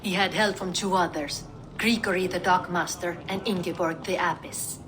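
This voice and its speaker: A woman's voice: on the low side, fairly even intonation, normal speed, a bit bright, faint music behind, close to an everyday voice, rough recording. A woman playing a stoic, loyal ally from the Viking age in a dramatic game cutscene. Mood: matter-of-fact.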